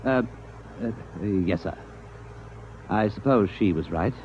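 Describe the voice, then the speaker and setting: An actor playing a wry, quick-witted captain in the British army, teasing and persuasive, in a 1950s radio drama. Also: male voice, fairly low pitch, animated, slight background noise. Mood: slyly casual. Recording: dull vintage radio broadcast.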